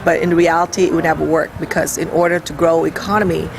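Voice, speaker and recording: A middle-aged speaker from Vietnam rambles through family memories, thinking aloud as they go. The voice has a gravelly, fry-edged texture. Clear background noise and traffic sit behind the speech. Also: mid-range voice, little pitch movement, fast. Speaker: interviewee. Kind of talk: oral history interview.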